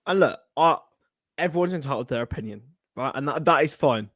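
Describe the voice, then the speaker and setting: A man's voice: medium pitch, expressive, fairly quick, fairly bright tone, no echo, clean studio sound. A young Englishman co-hosting a casual podcast, rambling with many restarts. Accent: non-Scouse English. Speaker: podcast co-host.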